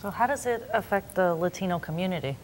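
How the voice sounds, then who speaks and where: A woman's voice: on the low side, fast, a little hiss or hum. A woman hosting a TV talk show, introducing guests and asking questions in an upbeat manner. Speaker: TV show host.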